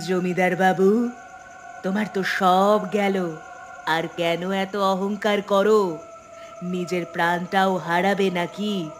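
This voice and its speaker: Slow, menacing bloodthirsty female ghost. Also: medium pitch, a bit bright. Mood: taunting menace. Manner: slow, taunting delivery with cackling. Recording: background noise and ambience.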